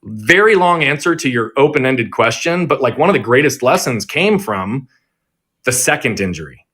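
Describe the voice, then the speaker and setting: A man in his thirties, a performance coach, telling his life story as a guest in an energetic, earnest and self-reflective way over a social audio app. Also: mid-range voice, normal speed, slightly rough. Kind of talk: conversational storytelling interview. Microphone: remote audio on a social audio app.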